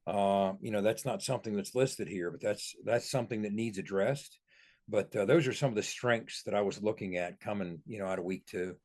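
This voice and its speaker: A man's voice: lowish voice, a little fast, darkish tone. A man giving sports analysis on a podcast in an emphatic, explanatory way.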